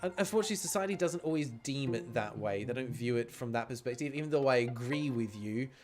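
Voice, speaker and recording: A man streaming a game, chatty and expressive as he comments and reads the text aloud. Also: mid-range voice, fast talker. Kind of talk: livestream chat and read-aloud game commentary. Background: game music.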